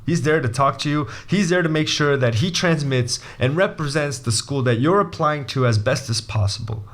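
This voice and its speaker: A young man from Brazil giving a casual video lesson as an MBA admissions coach, animated and jokey, often restarting his sentences. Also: a little high, normal speed.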